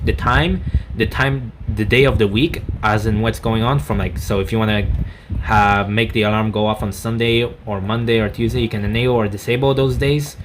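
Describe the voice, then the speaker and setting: A man talks through a product review in a casual, unscripted way. His voice sits at an average male pitch, and he rambles, stopping and restarting as he corrects himself. The recording carries some background noise. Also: fairly bright tone. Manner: halting, rambling, frequent self-corrections.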